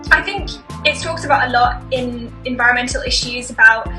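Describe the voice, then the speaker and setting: A young woman with a high, bright voice speaks in an upbeat, articulate way. She sounds a bit far from the mic in a roomy, echoing space, with light music behind. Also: a little fast. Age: young adult. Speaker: environmental charity co-founder. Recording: distant, echoey mic.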